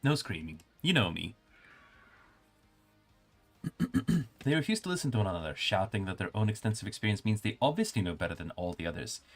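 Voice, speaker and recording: Male streamer, low and soothing, reading game text with chill asides, faint music under. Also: fairly quick, long pauses. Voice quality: calm, soothing. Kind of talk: reading game text aloud with casual commentary.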